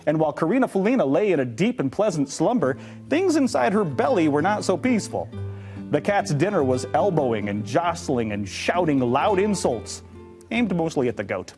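A middle-aged man reading a children's storybook aloud with expressive narration. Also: on the high side, moderate pace, faint music behind. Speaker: read-aloud storybook reader. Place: indoor studio, seated on a couch.

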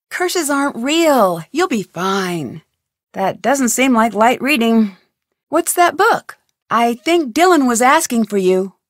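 A woman's voice: a little high, no echo, studio quality, exaggerated voice. An actress voicing a curious young woman detective, asking polite, inquisitive questions in recorded game lines.

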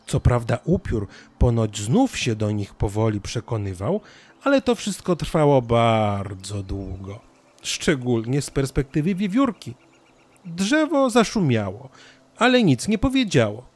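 A man narrating a scripted children's story as an expressive storyteller. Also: mid-range voice, unhurried.

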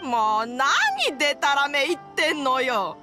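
An actress playing a gentle, contented elderly village wife in an anime game, with a kindly, unhurried manner. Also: female voice, sits high, over music. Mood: fond, reflective.

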